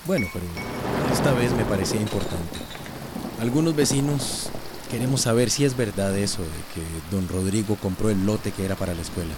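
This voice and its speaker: Affable small-town bar owner played by an actor. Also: male voice, fairly low pitch, fairly quick, close to an everyday voice. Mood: suspicious. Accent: Central American Spanish. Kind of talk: radio soap opera acting. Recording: radio drama with rainstorm noise.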